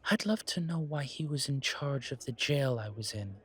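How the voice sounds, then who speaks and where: A man voicing a pompous, disdainful vampire gentleman with fussy, formal speech in an audio roleplay. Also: mid-range voice, expressive, moderate pace, slightly rough. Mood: wary. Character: pompous haughty male vampire gentleman, disdainful yet protective.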